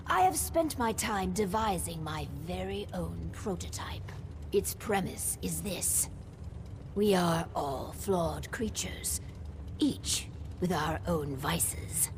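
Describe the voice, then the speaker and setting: An imperious female overseer in a video game. Her voice is a little low and very measured, with formal, ambitious coldness. There is clear mechanical noise behind her. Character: cold, ambitious female vault overseer with grand plans. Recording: clear background machinery noise.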